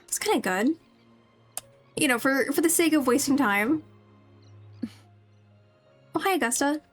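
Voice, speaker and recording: A woman's voice: high-pitched, animated, measured pace. A woman livestreamer giving casual, rambling game commentary as she chats to her viewers. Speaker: livestreamer playing a video game. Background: game music.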